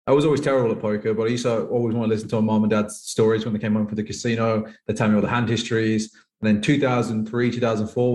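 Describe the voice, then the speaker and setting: Man, 30s, from the UK, poker pro guest, quick rambling speech, roomy echo. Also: fairly low pitch, darkish tone. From United Kingdom. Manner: rambling, heavy use of "like". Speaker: professional poker player guest. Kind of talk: casual interview chat. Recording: echoey room.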